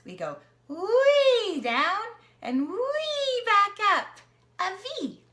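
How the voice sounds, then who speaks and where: A young woman reads an alphabet picture book aloud to her niece, playful and animated, often dropping to a whisper. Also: a little high. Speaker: aunt reading to her niece.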